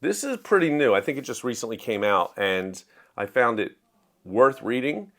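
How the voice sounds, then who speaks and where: A man's voice: medium pitch, animated, moderate pace, a bit bright. A man talking casually about books he read this month, in an enthusiastic, explanatory, conversational way. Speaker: book reviewer and writer. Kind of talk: casual monthly reading wrap-up.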